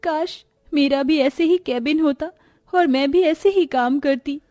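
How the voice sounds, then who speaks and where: A woman's voice: high voice, unhurried, warm tone, no room sound. A woman voicing a humble, educated young woman from a poor family in a cartoon moral story, earnest and pleading. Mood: wistful, meek.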